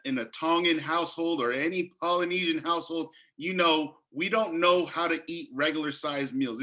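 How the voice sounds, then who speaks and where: A man's voice: on the high side, pushed and bright. A man in his thirties from Northern California, a health coach telling his story in a casual interview, earnest and full of restarts. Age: 30s. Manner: earnest, many fillers and restarts.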